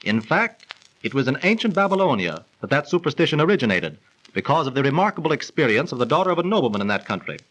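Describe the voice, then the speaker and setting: A man's voice: mid-range voice, sing-song, fast talker, fairly bright tone, poor audio. An actor playing a genial, learned young man who explains legends in polished, theatrical 1930s radio style. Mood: incredulous, teasing. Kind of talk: 1930s radio acting and storytelling. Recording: old 1930s radio transcription recording.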